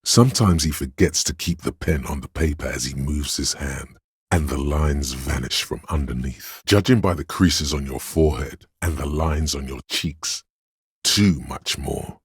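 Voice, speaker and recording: A man voicing a grim, goading inner voice of pain and endurance in a video game, narrating with slow, weighty, intense delivery and a husky, gravelly tone. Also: rumbling low, no room sound, studio quality, full character voice. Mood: grim, brooding.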